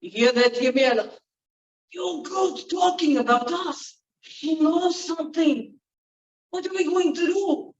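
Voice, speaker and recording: A woman's voice: sits high, a little slow, voice pushed up. A woman voicing a frightened male manservant who pleads anxiously. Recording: roomy, distant, dull sound.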